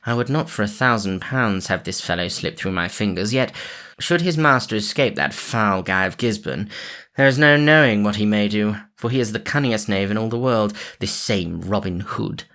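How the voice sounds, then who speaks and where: A man's voice: fairly low pitch, animated, normal speed, fairly bright tone. A man voicing a scheming, gloating sheriff who raises his voice to bark orders. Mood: brooding.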